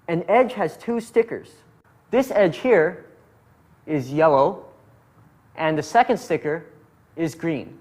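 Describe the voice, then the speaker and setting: A man explaining a puzzle method as an instructor, patient and methodical in his narration. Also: sits high. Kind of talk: step-by-step tutorial narration.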